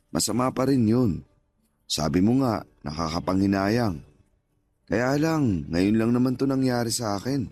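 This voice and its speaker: An actor playing a gentle, devout older male street vendor in a radio drama, humble and reflective. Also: low voice. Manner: humble, reflective, plain-spoken. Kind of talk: Tagalog radio drama acting.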